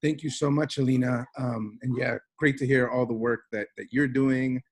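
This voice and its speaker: A man moderating a group video call, thanking a speaker and passing the floor along in a friendly, brisk way. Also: mid-range voice, fairly even intonation, husky. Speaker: call facilitator.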